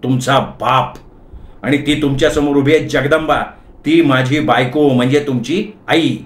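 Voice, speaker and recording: A man's voice: medium pitch, fairly even intonation, a little slow, reverberant, lightly acted. A man playing an irritable, blustering boss and husband in a comic story, cranky and imperious.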